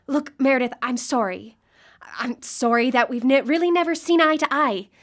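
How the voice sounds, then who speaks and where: Woman acting high-voiced, desperate grieving widow. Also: moderate pace, natural voice. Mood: bitter, defiant. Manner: pleading, urgent. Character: grieving, obsessive widow desperate to reach her lost wife. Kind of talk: live radio drama acting. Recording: live broadcast with background noise.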